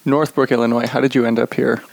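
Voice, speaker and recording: A man with a gravelly, mid-pitched voice hosts an interview in a home living room. He asks friendly, curious questions, and his voice is recorded close and dry. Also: level tone, quick pace, dry recording. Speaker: podcast host and musician. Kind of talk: interview hosting.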